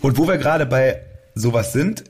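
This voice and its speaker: A man's voice: mid-range voice, expressive, normal speed, a little mellow, husky. A man hosting a podcast in casual chat, rambling a little and correcting himself mid-sentence. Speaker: podcast host. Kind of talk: casual chat about music.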